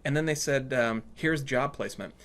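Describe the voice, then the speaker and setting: A man chatting casually and telling stories in an animated way, acting out what people said to him. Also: medium pitch, moderate pace. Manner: animated storytelling, acts out reported dialogue. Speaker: storyteller in conversation. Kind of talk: casual anecdotal chat.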